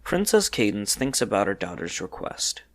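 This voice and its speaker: Animated, lowish-voiced male story narrator. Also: moderate pace.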